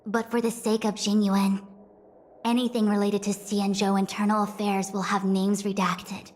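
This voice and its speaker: An actress voicing a scholarly female diviner in a video game, speaking in a formal, measured and eloquent way. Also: medium pitch, level tone, a little mellow, close to an everyday voice. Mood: polite, businesslike. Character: composed, scholarly female diviner official, analytical and dutiful. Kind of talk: scripted game cutscene dialogue. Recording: background music under the voice.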